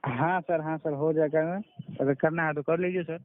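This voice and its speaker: A man speaks over a tinny, dry phone connection. His voice sits a little high. He talks informally as a patient calling in, very deferential and given to repeating himself. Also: steady tone, moderate pace, no room sound. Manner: deferential, constantly says sir, repeats himself. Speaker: caller, patient giving a testimonial. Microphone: telephone. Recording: narrowband phone call recording.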